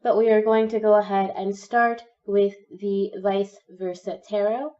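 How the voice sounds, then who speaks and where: A young woman with a cold gives a gentle, encouraging tarot reading, her voice slightly croaky. Her tone is soft and mellow. The audio sounds dull and a little distant, as if she sits back from the mic. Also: mid-range voice, steady tone, measured pace. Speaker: tarot reader. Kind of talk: pick-a-card tarot reading.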